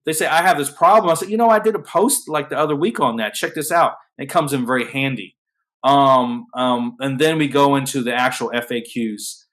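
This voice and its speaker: A man hosting a casual panel on a video call, talking in a flat, even way with many fillers and restarts. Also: medium pitch, a little fast. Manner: self-described monotone, filler-heavy, restarts. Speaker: host.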